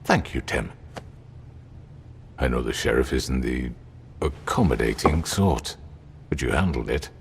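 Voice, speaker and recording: An actor playing a commanding crime boss in a video game, measured, formal and coolly patronizing. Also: male voice, expressive, a little hiss or hum, heavily acted. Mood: calm, commanding. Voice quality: very deep voice. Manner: measured, formal, smooth-talking.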